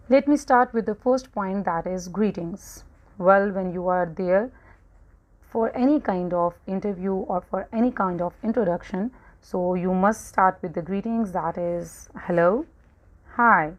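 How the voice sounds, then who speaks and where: A woman teaching spoken English, speaking slowly and deliberately as she gives example phrases. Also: fairly low pitch, highs cut off. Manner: slow, deliberate, instructive delivery with model phrases spoken aloud. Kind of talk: explaining a spoken English lesson.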